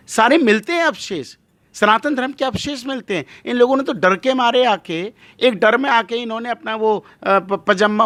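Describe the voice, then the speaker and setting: High-pitched, emphatic male religious leader. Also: a bit bright, no echo. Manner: emphatic, rhetorical questions and repetition. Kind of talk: impassioned interview monologue.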